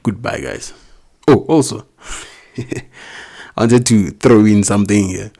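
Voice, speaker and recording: A man's voice: fairly low pitch, slow, warm tone, husky. A man with a non-native English accent, teaching a recorded math lesson step by step, asking questions and answering them himself. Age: adult, not young.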